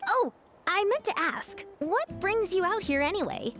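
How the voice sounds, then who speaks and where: A woman voicing an enthusiastic, encouraging sports coach in a game, who talks in an energetic, bossy-friendly way. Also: very high-pitched, a little slow, heavily acted. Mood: upbeat. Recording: game audio with faint music.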